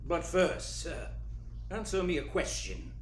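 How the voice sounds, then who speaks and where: An actor playing a jovial, sly, fat criminal schemer in a radio play, effusive and courtly in manner. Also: male voice, fairly high pitch, big pitch swings. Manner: effusive, courtly, deliberate, chuckling speech. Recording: roomy echo, faint noise.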